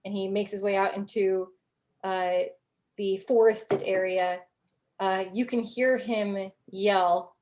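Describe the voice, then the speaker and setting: A woman's voice: medium pitch, fairly even intonation, takes it slowly, darkish tone. A young adult woman narrating as game master in a casual way, full of "like" and "um" fillers.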